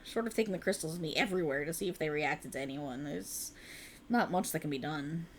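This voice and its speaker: A woman voicing a young alien woman in an ASMR roleplay, an earnest, teasing friend hiding as a human, who swears bluntly and talks softly and close. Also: low voice, a little fast, a little hiss or hum, natural voice. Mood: wistful. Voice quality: soft, intimate and lively, with blunt teasing and swearing.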